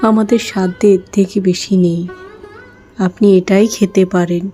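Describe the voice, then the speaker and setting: A woman voicing a poor, gentle village wife and mother in a cartoon fairy tale, speaking earnestly and respectfully. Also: lowish voice, steady tone, normal speed, soft background music, close to an everyday voice. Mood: gentle, apologetic.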